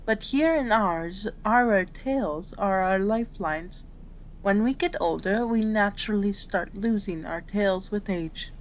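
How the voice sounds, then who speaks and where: A kind kitsune doctor, acted by a woman in an audio roleplay, speaks at an unhurried pace in a medium-pitched voice. She is caring and reassuring, talking straight to her patient. Background ambience runs under the voice. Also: slight background noise, close to an everyday voice. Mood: wistful, calm. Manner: soft-spoken, reassuring, addressing a patient directly. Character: gentle, caring female kitsune doctor, aging fox spirit. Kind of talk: ASMR roleplay voice acting.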